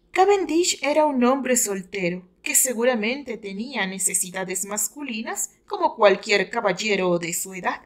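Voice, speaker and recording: A woman with a Mexican accent reads a literary story aloud in a fairly high voice. She delivers it as scripted audio novel narration, the author presenting her own written prose.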